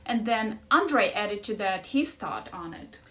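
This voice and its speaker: A woman's voice: mid-range voice, expressive, unhurried, sharp tone, far from the mic. A middle-aged woman with a Ukrainian accent, discussing a book and coaching listeners in an encouraging way, often checking in with "right?". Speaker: life coach and podcast host.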